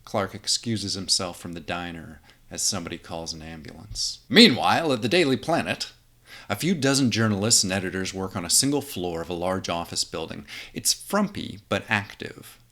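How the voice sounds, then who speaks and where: A man's voice: low-pitched, animated, moderate pace, bright, forceful tone. A man narrating a fan script in an informal, conversational way, with casual asides.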